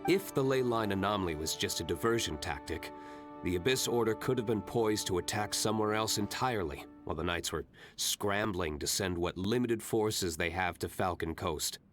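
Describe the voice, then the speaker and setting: Male actor as self-assured vigilante aristocrat for a video game: low voice, reserved, cool, measured delivery. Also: close to an everyday voice. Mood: serious, matter-of-fact. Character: cool, aloof young nobleman vigilante, composed and self-assured. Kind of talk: anime-style video game voice acting. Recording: background music under the voice.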